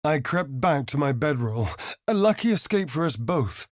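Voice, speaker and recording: An actor playing a sly, vain vampire spawn adventurer in a video game, with an arch, theatrical, sardonic drawl. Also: male voice, fairly high pitch, very animated, normal speed, no echo, studio quality. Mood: hushed storytelling. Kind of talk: video game companion voice lines and narration.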